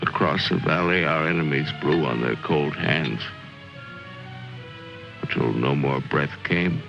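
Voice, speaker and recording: Brooding military general, very low voice, slow theatrical musing, dull old film recording. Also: male voice, creaky, heavily acted. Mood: somber. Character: brooding world-weary military general, philosophical and morbid. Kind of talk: old film dramatic monologue. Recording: dull old film soundtrack, loud music.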